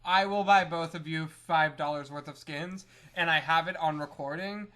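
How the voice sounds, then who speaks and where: A man's voice: on the high side, normal speed, over a noisy crowd. A man co-hosting a casual gaming podcast with wry, teasing banter. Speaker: podcast co-host. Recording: noisy amateur podcast recording.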